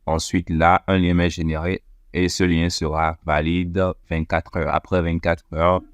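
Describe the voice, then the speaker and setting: Deep-voiced man calmly explaining steps. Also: unhurried, clean tone, no room sound, dull audio. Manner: step-by-step, methodical with frequent fillers. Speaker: tech tutorial presenter. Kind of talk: screen-recorded software walkthrough.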